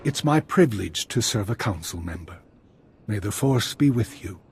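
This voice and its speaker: An actor playing a wise, solemn Jedi master and mentor in a video game, speaking in a measured, earnest way. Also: male voice, fairly low pitch, expressive. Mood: earnest, warm. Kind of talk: scripted video game dialogue. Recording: loud background ambience noise.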